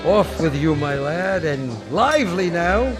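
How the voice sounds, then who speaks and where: A quaint, eager old-fashioned angel. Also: male voice, a little high, sing-song, takes it slowly, over music. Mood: gentle. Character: gentle, fussy, old-fashioned male angel. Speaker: radio actor. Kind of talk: 1940s radio acting.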